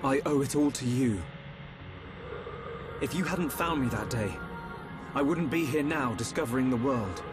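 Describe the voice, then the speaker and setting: An actor playing a polite, determined young hero in video game cutscene dialogue. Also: male voice, mid-range voice, unhurried, long pauses, close to an everyday voice. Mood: earnest, determined. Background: prominent background music.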